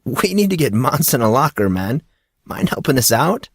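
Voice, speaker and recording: A man voicing an amused, easygoing high school boy in an audiobook, talking in a casual, slangy, teasing way. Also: sing-song, normal speed, slightly rough, no echo, studio quality.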